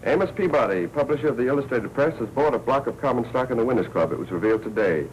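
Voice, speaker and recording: Actor as tough american guy talking fast, 40s crime movie, slightly noisy old audio. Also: mid-range voice, expressive, close to an everyday voice. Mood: businesslike. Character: brisk, needling, tough man in a crime film. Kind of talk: fast 1940s crime film acting. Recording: dull, hissy 1940s film soundtrack.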